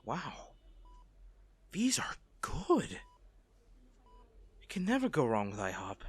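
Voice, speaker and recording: A man playing a kind, bashful stranger in an intimate audio roleplay, speaking gently and playfully with some flustered hesitation. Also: medium pitch, huge pitch swings, very slow, husky, exaggerated voice. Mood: caring, playful. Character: kind, bashful male stranger comforting an injured crash survivor.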